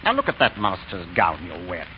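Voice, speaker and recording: Pompous english headmaster, clipped and officious, swoopy pitch, muffled old radio recording. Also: male voice, huge pitch swings, a little fast. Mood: stern, exasperated. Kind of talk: 1930s radio acting. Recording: dull, noisy 1930s radio broadcast.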